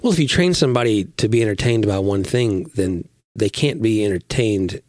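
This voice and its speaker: A man's voice: lowish voice, normal speed, a little mellow, no room sound. A man who is a pastor, recovering from a recent illness, chatting casually on a podcast and telling stories with self-deprecating humor.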